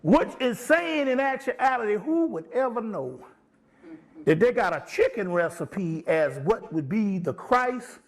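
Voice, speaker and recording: High-pitched man lecturing informally. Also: sing-song, a little slow. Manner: emphatic, profane, conversational, often checking listeners follow.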